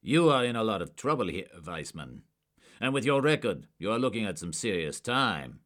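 A man's voice: extremely low pitch, big pitch swings, moderate pace, a bit bright, full character voice. A man doing a barking tough cop. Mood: commanding. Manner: barking, authoritative orders. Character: hard-edged male police agent, commanding. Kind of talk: audiobook character voice.

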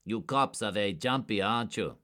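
Brief: man doing a shifty small-time counterfeiter, higher and thinner than the narrator, nervous and sneering. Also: sing-song, moderate pace, a bit bright. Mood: contemptuous. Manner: nervous, evasive, contemptuous. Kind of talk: audiobook character voice.

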